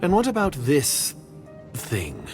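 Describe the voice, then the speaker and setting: A man's voice: medium pitch, wildly swooping pitch, takes it slowly, exaggerated voice. A man playing a cold, calculating conspirator in a video game, speaking in a guarded, disdainful, formal way. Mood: suspicious. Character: wary, shadowy male conspirator, cold and calculating. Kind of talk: English video game voice acting. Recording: game music behind.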